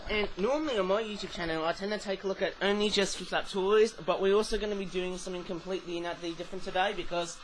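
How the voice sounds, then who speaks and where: A man who has just woken up, talking croakily through a casual toy review into a webcam. He rambles and keeps correcting himself. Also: high-pitched, steady tone, fast, few pauses, a little hiss or hum, low-quality recording. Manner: rambling, self-correcting, chatty asides.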